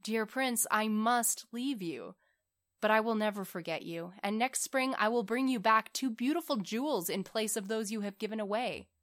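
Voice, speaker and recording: A woman's voice: fairly high pitch, lively intonation, moderate pace, natural voice. Woman as a tender, kind-hearted little storybook swallow. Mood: tender, wistful. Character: small, kind-hearted, devoted male swallow. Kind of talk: storybook character voice.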